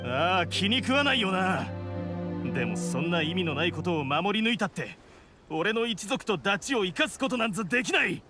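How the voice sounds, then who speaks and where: Bitter oni outcast, swinging pitch. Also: male voice, big pitch swings, over music. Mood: grim, resolved. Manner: scornful, weighty, deliberate. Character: embittered male blue oni outcast, fallen proud warrior. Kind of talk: dramatic game voice acting.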